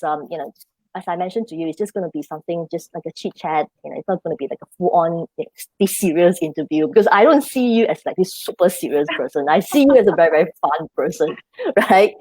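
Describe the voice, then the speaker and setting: A woman hosting a live online show, chatty and relaxed, filling her talk with "you know". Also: fairly low pitch, fast talker, no echo, low-quality recording. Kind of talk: casual chat interview.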